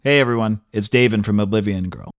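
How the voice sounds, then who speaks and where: A man's voice: lowish voice, fast, soft background music. A man giving a scripted promotional read as a podcast announcer. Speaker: announcer for a podcast support message.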